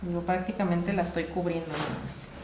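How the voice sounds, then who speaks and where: Woman chef in her 30s, calm class demo, echoey tiled kitchen, audible hiss. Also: lowish voice, fairly quick. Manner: calm, explains steps while working with her hands. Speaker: gelatin art instructor. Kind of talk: live cooking class demonstration. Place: large tiled professional kitchen. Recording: echoey kitchen, noisy livestream audio.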